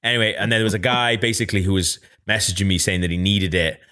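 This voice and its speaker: A man with a slightly low voice hosts a casual podcast. He tells his story loosely, swears freely and puts on mocking voices for the people he quotes. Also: quick pace, pushed and bright. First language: Welsh. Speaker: podcast host.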